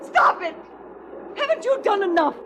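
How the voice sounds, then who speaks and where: An actress playing a worried, loyal frontier wife with an American accent in an old western radio drama, folksy, earnest and plaintive. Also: female voice, very high voice, very animated, normal speed. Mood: distressed. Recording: noisy old radio broadcast recording.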